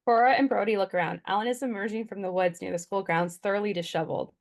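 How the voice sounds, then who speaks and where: Medium-pitched woman reading stage directions. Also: quick pace. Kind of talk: scripted narration at a table read.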